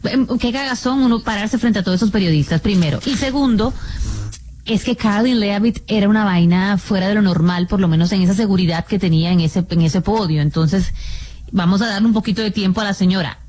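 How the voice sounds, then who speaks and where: A woman's voice: mid-range voice, a little fast, darkish tone, slight background noise. A woman co-hosting a radio show, chatting playfully and slipping in English phrases. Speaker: radio co-host. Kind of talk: casual radio chat.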